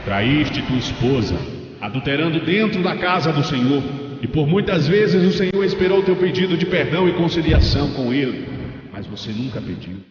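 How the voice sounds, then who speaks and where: A man's voice: on the high side, heavy reverb, big-room sound, recorded from a distance, lightly acted. A man voicing a stern angel in a religious story, delivering judgment in a solemn, accusing, declamatory way. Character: stern male angel reading out divine judgment. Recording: muffled, noticeable background noise.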